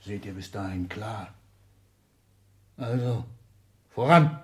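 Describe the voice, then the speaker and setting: A man's voice: fairly low pitch, expressive, slow, a little mellow. A man acting in a 1960s German radio play as an ailing, domineering old industrialist, speaking in clipped, imperious declamation with sudden outbursts. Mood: scheming, commanding. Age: older. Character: ailing, domineering old industrialist patriarch lecturing his children.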